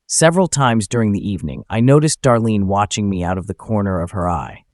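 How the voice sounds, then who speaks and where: A man's voice: fairly low pitch, a little fast, no room sound, clean studio sound. A man reading a scripted first-person story as narrator, acting out the dialogue as he goes. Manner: acts out the dialogue.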